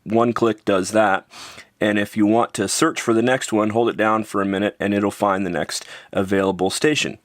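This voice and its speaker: A man's voice: lowish voice, fairly even intonation, moderate pace, no echo, clean studio sound. A man with a North American accent narrating a casual gadget review in an offhand, informal, slightly wry way. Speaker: product reviewer.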